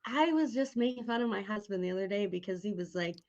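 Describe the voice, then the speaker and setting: A woman's voice: mid-range voice, animated, fast talker, a little mellow, dull audio. A woman speaking informally as an interviewer in a casual, opinionated chat. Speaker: podcast interviewer. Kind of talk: casual chat about horror tropes.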